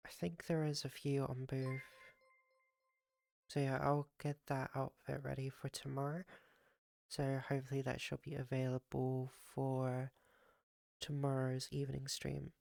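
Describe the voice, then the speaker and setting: A live streamer with a raspy, groggy morning voice, chatting casually with viewers and rambling with many fillers and restarts. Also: mid-range voice, a little mellow, no room sound, studio quality.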